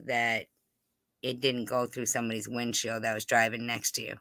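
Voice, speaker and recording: An interviewer with a very sharp, forceful and clean-sounding voice of middling pitch. The delivery is blunt and pressing, with casual swearing, and the sound is close and dry. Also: level tone, moderate pace, gravelly, no echo.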